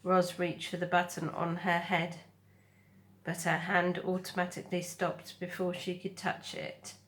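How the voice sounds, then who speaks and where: Slow-paced woman reading a children's story. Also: lowish voice, monotone, a bit bright. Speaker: storybook reader. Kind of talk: scripted children's story narration.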